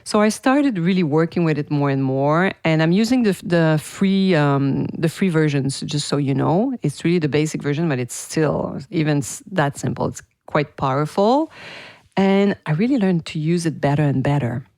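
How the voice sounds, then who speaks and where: A woman's voice: low-pitched, expressive, normal speed, a little mellow, dry recording, studio quality. A woman with a French accent hosting her own podcast, talking to listeners in an enthusiastic, chatty way. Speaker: podcast host and PR teacher. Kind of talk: casual solo podcast talk.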